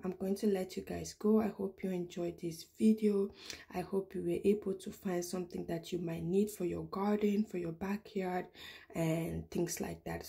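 A woman with a low, mellow voice narrates a shop-with-me video inside a big store. She talks casually, filling pauses with ums and starting over mid-sentence, as she holds her phone. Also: vocal fry. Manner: chatty, many ums and restarts. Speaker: vlogger. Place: large home improvement store, indoors.

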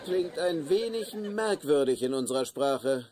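A man's voice: on the high side, lively intonation, unhurried, mellow, some chatter behind. An actor in a German sitcom dub playing an awkward, hesitant, clingy young man. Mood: sheepish.

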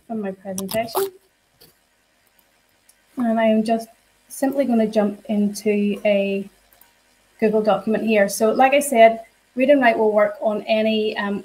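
A woman giving a live software demonstration to teachers. She explains each step in a friendly way and uses frequent fillers. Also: medium pitch, low-quality recording. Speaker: software trainer.

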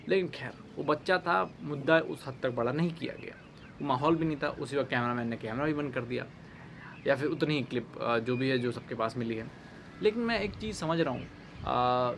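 A young man hosting an opinion commentary to camera, speaking in a pointed, emphatic way with halting phrasing. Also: medium pitch. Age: young adult. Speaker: online commentary host. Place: outdoors, overlooking a city. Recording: background noise with outdoor ambience and birds.

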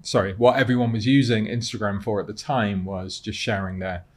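A British man in his thirties telling his personal story conversationally as a podcast guest, reflective and a little halting. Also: lowish voice, fairly even intonation, a loud crowd. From Cardiff, Wales. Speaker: podcast guest, content creator and model. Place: podcast studio. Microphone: close studio mic on desk stand.

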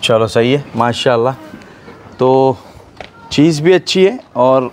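A man's voice: medium pitch, lively intonation, takes it slowly, a little hiss or hum. Chatty male vlogger touring a farm outdoors. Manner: chatty, asks many follow-up questions. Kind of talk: casual on-location vlog chat. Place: outdoor goat farm yard.

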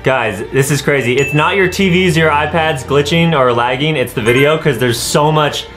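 Young male co-host, American, fairly high voice, casual and playful, over a music bed. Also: normal speed. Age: young adult. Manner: playful, slangy, agreeing. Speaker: gaming video co-host. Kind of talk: casual reaction banter for children.

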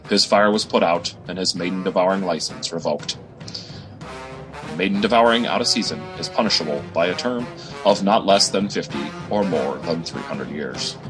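Man as deep, solemn crime-show announcer. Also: moderate pace, natural voice, low-quality recording. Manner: solemn, formal. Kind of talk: scripted parody radio narration. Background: music.

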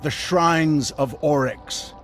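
A man's voice: on the high side, slow, over music. A man playing an old warlock sage, delivering a grave, ominous prophecy in a video game cutscene. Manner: grave, portentous.

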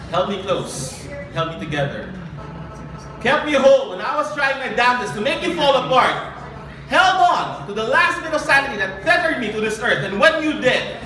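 A young man with a high-pitched voice performs spoken word poetry at a live event. His delivery is earnest and deliberate, shaped by dramatic pauses. The sound is very echoey and picked up from a distance, with clear noise. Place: small live open-mic event. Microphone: handheld and stand microphone, heard from a distant camera.

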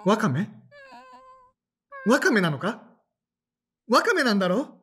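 A man's voice: sits high, big pitch swings, measured pace, heavily acted. An actor playing an earnest, emotional young man who dreams of acting, both narrating and speaking in an audio drama. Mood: frantic. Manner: earnest, emotional, sighs and pleads. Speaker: narrator and lead character. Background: soft background music.

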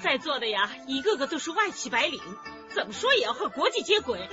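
High-voiced teasing party guest. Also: female voice, very animated, fast talker. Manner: mock-pompous, bantering. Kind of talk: radio drama acting. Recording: dull recording with music behind.

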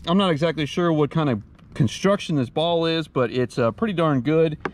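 A man's voice: on the high side, normal speed. A man in his thirties talking casually to camera outdoors as a vlog host, with a laid-back, wry, informal manner. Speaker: host of a shooting channel. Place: outdoors at a wooded backyard shooting range.

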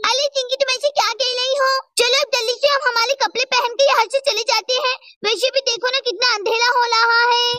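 Very high-pitched, excitable little schoolgirl. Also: brisk, hardly any pauses, no echo, recorded from a distance. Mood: anxious. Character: excitable, easily embarrassed little schoolgirl chattering with friend.

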